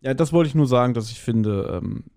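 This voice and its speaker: A man's voice: medium pitch, a little fast, warm tone. A man with a German accent co-hosting a podcast in casual chat about an audio drama, often hesitantly searching for words. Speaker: podcast co-host.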